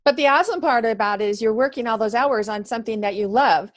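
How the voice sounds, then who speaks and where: A woman's voice: on the high side, fast. A woman hosting an interview over a video call, affirming and encouraging toward her guest. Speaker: interviewer, host. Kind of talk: casual conversational interview.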